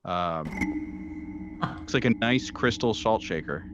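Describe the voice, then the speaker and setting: A man narrating an improvised tabletop horror game as game master, feeling his way haltingly through descriptions. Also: on the low side, expressive, dull audio, noisy background.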